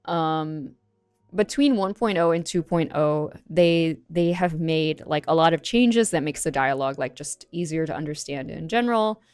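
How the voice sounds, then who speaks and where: A woman's voice: low voice, moderate pace, a little mellow, clean tone, dry recording, soft background music. A young woman, a native English speaker, casually reading an article aloud and commenting on it with many fillers. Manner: chatty, many fillers, reads text aloud then comments. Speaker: streamer reacting to an article.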